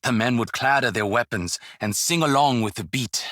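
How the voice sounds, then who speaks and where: An actor voicing a calm, dignified veteran warrior in a video game, speaking in a measured, solemn manner. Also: male voice, medium pitch, no room sound, clean studio sound, natural voice. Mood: calm, reflective. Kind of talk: scripted game character lines.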